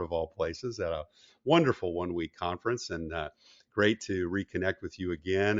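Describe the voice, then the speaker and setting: A man's voice: low-pitched, lo-fi sound. A middle-aged American man hosting an interview podcast, moving between prepared introductions and conversation. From United States (California). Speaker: podcast host.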